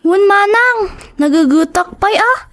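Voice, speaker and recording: A woman's voice: very high voice, expressive, unhurried, a bit bright, exaggerated voice. An actress playing an eager, obedient young schoolgirl with an Ilocano accent in a radio drama. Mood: eager, curious.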